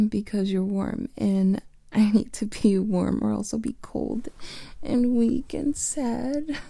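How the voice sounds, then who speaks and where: A woman playing a playful, affectionate vampire lover in an ASMR roleplay, speaking softly and often in whispers into a close microphone. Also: mid-range voice, gravelly, no room sound. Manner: soft teasing, often whispered.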